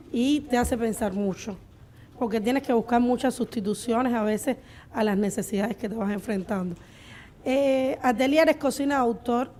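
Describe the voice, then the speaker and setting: A woman's voice: fairly high pitch, warm tone. A woman with a Cuban accent speaking unscripted as a restaurant owner on a panel of entrepreneurs. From Cuba. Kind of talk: unscripted panel storytelling and answers.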